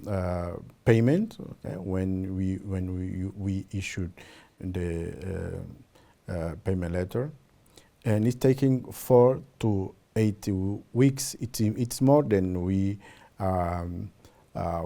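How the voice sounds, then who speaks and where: A man whose first language is Portuguese, answering interview questions in English, hesitant with many pauses and fillers. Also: deep voice, dark tone. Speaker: citizenship program representative.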